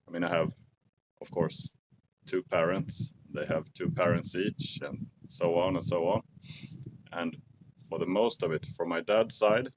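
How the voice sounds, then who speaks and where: A man in his forties with a Swedish accent, talking slowly and thoughtfully to camera outdoors in a forest at dusk, pausing to search for English words. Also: low-pitched, slight background noise, rough recording. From Sweden. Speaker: outdoor vlogger. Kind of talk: casual talk to camera.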